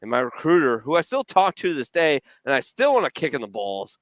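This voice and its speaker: A man's voice: mid-range voice, huge pitch swings, brisk, fairly bright tone, dry recording, muffled recording. A man chatting casually on a podcast from his home basement, telling blunt, profane stories. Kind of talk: casual chat.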